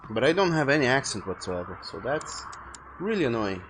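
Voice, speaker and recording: A man's voice: mid-range voice, very animated, fairly quick, fairly bright tone. Chatty man streaming a game, faint hiss. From France. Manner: rambling, self-correcting, chatty. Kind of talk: casual gameplay commentary.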